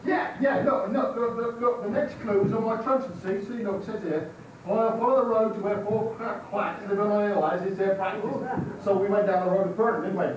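A man's voice: high-pitched, fairly even intonation, a little fast, natural voice. A man acting a chattering member of a treasure-hunting party in an amateur stage pantomime, heard from far off in a hall. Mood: eager. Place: stage in a village hall, audience present. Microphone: far camera. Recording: distant camcorder, echoey hall, noisy crowd.

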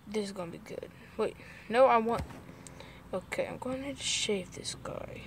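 A woman's voice: medium pitch, moderate pace. A woman streaming a game, casually thinking aloud in a playful, muttering way. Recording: noisy amateur game stream audio.

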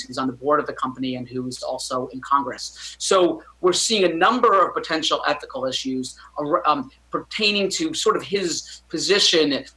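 A man in his thirties gives a remote news interview from a book-lined home study. His voice sounds bright and forward, and he works through his points with many fillers and self-corrections. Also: fairly high pitch, poor audio. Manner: hesitant, many fillers and restarts. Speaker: physician guest commentator.

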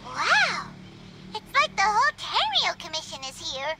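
A woman voicing a tiny, chirpy, floating fairy-like girl companion in a video game, talking in quick excited exclamations. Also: very high voice, noisy background, full character voice. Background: game music.

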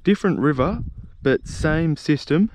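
A young man with an Australian accent narrating a casual fishing vlog in a laid-back, halting way. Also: a little high, expressive, a little mellow, dry recording. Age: young adult. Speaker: fishing vlogger. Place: outdoors on a grassy riverbank. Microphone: body-worn or handheld action camera.

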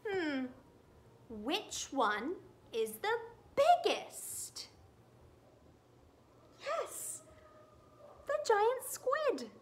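A woman in her thirties reading a picture book aloud to young children indoors, animated and questioning her listeners. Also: very high-pitched, very slow, long pauses, slight background noise. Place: small room indoors.

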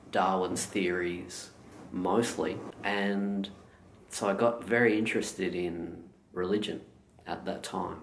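A middle-aged Australian man with a low voice speaks unhurriedly and thoughtfully, as a guest telling his story. The sound carries an echo from the room around him. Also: slight background noise. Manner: slow, measured and thoughtful. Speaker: meditation teacher, guest. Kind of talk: reflective interview answers. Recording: echoey room.

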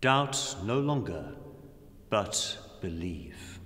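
Middle aged guy preaching really slow n solemn, lowish voice, big echoey room. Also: very animated, fairly bright tone. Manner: solemn, measured, with long pauses between phrases. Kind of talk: reflective sermon and prayer. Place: large dim indoor room. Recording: long echoing reverb of a hall.

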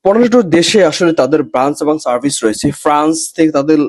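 A man's voice: fairly high pitch, animated, fast talker, darkish tone. A man with a Bangladeshi accent presenting a product in a promotional voice-over, mixing in English phrases. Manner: mixes English phrases into speech. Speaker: product presenter for a gadget seller. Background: background music.

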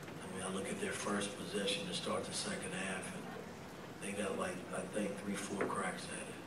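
Middle-aged man, coach, low flat voice, blunt measured answers, echoey press room, loud background noise. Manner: blunt and measured, frequent "I mean" restarts. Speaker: basketball head coach. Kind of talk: postgame press conference answers. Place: press room with sponsor backdrop. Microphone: table microphone. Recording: roomy echo, distant mic, loud room noise.

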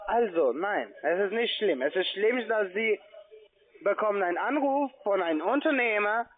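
High-voiced foreign-accented man on the phone. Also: expressive. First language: not German. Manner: insistent, halting phrasing with repetitions. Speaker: call-centre phone agent. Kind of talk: argumentative sales phone call. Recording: muffled, band-limited phone line.

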